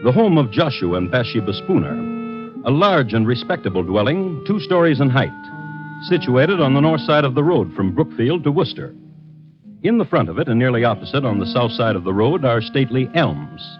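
Male crime-story narrator for vintage radio; formal storytelling, expressive intonation, clearly audible music underneath. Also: medium pitch. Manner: deliberate, dramatic, formal. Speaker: host and narrator. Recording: dull vintage radio recording.

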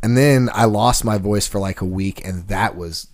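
A man with a low voice talks in a lively, storytelling way. He acts out the lines of the people in his stories. The chat is casual and quick. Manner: animated storyteller, acts out quotes.

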